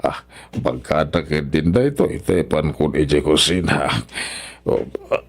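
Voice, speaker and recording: A man's voice: very deep voice, steady tone, unhurried. A man with a Filipino accent acting a proud, stubborn, grumpy old father-in-law in a radio drama. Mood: straining, grumbling.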